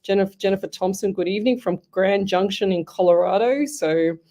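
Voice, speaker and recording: A woman's voice: medium pitch, a little fast, mellow. Chatty Australian woman radio host. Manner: chatty, rambling, self-interrupting. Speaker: radio host and psychic medium. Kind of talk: live radio call-in show.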